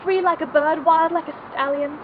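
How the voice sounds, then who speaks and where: A woman's voice: high-pitched, lightly acted. A young woman playing an intense, repressed aristocratic spinster haunted by her youth, in a theatrical confessional monologue. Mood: impassioned. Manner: theatrical, confessional, deliberate phrasing. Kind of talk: dramatic monologue. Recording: tinny band-limited noisy amateur film sound.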